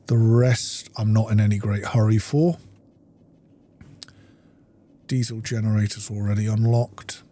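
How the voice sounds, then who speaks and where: A man's voice: fairly low pitch, little pitch movement, a little slow, long pauses, mellow. A man giving casual gameplay commentary, unhurriedly and methodically thinking aloud as he plays. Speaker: video game streamer.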